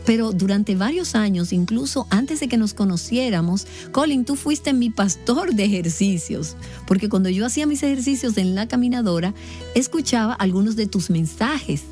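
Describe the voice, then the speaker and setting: A woman hosts a podcast interview, chatting in a friendly, conversational manner. Her voice is mellow and warm at a middle pitch. Light music sits faintly behind her. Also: animated, moderate pace. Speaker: podcast host. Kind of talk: interviewing a guest.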